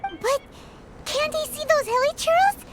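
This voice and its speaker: Tiny female game pixie: extremely high, squeaky, wildly swooping, excitable, loud music underneath. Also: measured pace. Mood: curious, excitable. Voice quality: squeaky, childlike. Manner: speaks of herself in third person, exclaiming. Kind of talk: cartoonish video game voice acting.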